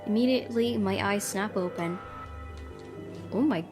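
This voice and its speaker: A woman's voice: medium pitch, a little slow. A woman hosting a gaming video, reading a story dramatically aloud with casual commentary. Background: music behind the voice.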